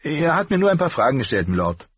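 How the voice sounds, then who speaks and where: A man voicing a shy young male blacksmith apprentice, speaking quickly and eagerly. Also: on the high side, sing-song, husky, dry recording, voice pushed up. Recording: dull lo-fi recording.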